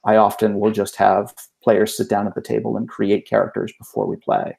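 A man on a video call explaining things in a measured, methodical way as an interview guest. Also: fairly low pitch, steady tone, gravelly, a little mellow, a little hiss or hum. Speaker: game designer, podcast guest.

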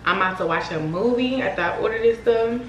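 A woman's voice: on the high side, quick pace, roomy sound, dull audio, a little hiss or hum. A young woman chatting candidly to her viewers and her dog in a casual vlog. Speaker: vlogger. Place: apartment rooms and a parked car.

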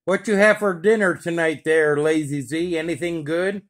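A man with a slightly high voice chats casually over CB radio. He uses operator lingo in an easy, conversational way. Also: normal speed, a bit bright, a little hiss or hum. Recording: CB radio chatter, radio sound.

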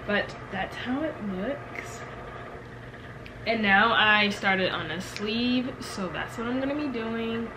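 A woman's voice: fairly high pitch, takes it slowly, background noise. Young woman chatting casually to camera. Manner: relaxed, rambling with many fillers. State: stuffy from a cold. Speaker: knitting vlogger. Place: small bedroom.

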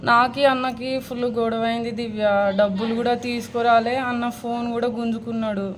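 A woman's voice: fairly high pitch, flat delivery, a little fast. A young woman with a Telangana Telugu accent talks casually on a phone call in a plaintive, troubled manner. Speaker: vlogger making a prank phone call. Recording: clearly noisy background ambience.

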